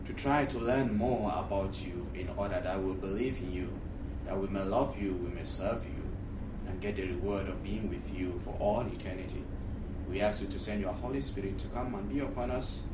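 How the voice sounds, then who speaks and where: Cameroonian priest teaching in an echoey, noisy room. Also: male voice, fairly low pitch. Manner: repeats phrases for emphasis. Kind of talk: religious class lecture. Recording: distant mic, echoey room, loud noise.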